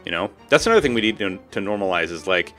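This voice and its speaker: A man's voice: sits low, lively intonation, fast talker, a bit bright. A man in his thirties chatting casually on a gaming livestream, informal and slangy with frequent swearing. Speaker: game streamer. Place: home room. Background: music.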